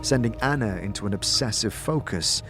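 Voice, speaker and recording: A man's voice: lowish voice, animated, moderate pace, over music. A man narrating a fantasy audio drama in a descriptive, dramatic storytelling style with shifting emphasis. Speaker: narrator and game character in an audio series.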